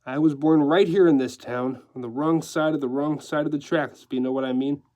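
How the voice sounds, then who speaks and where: A man's voice: fairly high pitch, fairly even intonation, fairly quick, dark tone, natural voice. A man voicing a sly, gleeful, theatrical male decorator in a story, gushing and affectedly dropping French phrases. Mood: gleeful, boastful.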